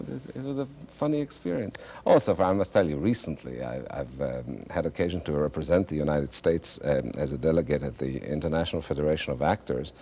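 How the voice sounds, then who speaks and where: Very deep-voiced man telling wry stories. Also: gravelly, darkish tone. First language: German. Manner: thoughtful, halting with many restarts, wry humor. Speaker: interview guest, actor and singer. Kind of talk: reflective conversational storytelling. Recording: faint hiss, 1970s TV studio.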